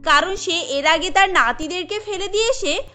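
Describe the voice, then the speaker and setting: A woman's voice: very high-pitched, normal speed, bright, forceful tone, faint music behind. A woman giving scripted narration for a cartoon story.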